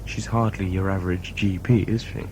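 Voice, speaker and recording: A man's voice: low voice, steady tone, moderate pace, background noise. A man playing a wry, sceptical traveller with a sardonic, offhand manner in an audio drama.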